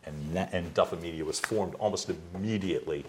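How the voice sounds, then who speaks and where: A middle-aged man from the United States, a voice coach being interviewed at home, who talks loudly and animatedly and laughs easily. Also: sits low, noisy background. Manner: loud, animated storyteller with quick laughs. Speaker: guest, executive voice coach. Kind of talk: conversational interview. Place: his home.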